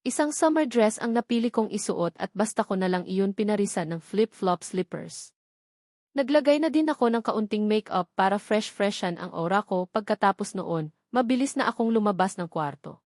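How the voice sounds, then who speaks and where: A woman's voice: medium pitch, animated, normal speed, darkish tone, no echo, clean studio sound. A woman with a Filipino accent reading a first-person novel as a scripted narration. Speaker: audiobook narrator.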